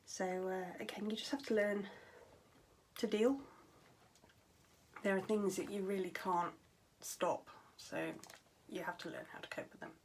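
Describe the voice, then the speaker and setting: Young woman vlogging from home in a tired voice with little power behind it, often sinking to a soft, breathy near-whisper. She talks candidly and matter-of-factly, with plenty of fillers and phrases that trail off. A faint background hiss sits under her mid-pitched voice. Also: measured pace. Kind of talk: casual talk to camera.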